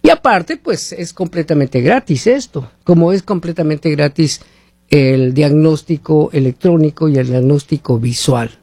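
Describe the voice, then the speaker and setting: A man's voice: on the high side, lively intonation, a little slow, mellow, breathy edge, no echo. A man from Mexico hosting talk radio, rambling through commentary and reading sponsor ads, often asking listeners rhetorical questions. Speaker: radio host. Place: living in the United States.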